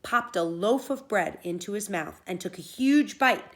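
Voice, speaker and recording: A woman's voice: medium pitch, expressive, moderate pace, a bit bright. A woman teacher reading a story aloud to children, expressively and with explanation.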